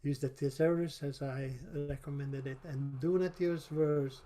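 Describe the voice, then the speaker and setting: An older man with a Hungarian accent, giving a webinar lecture over slides in a halting way, with repeated words and fillers. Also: a little high, steady tone, warm tone, soft background music. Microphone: video call.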